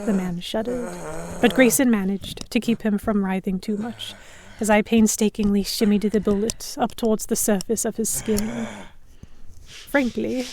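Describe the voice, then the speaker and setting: A woman narrating in first person as a composed captive in a historical pirate audio drama, with measured, dramatic storytelling. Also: mid-range voice, darkish tone, lightly acted. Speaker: narrator and lead actor.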